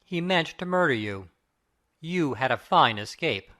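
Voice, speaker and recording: A grave, middle-aged Victorian lawyer, acted by a man for a dramatic audiobook. He speaks formally and probingly, with a very lively swing in pitch. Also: unhurried. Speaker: audiobook cast reader. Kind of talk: dramatic reading of Victorian fiction.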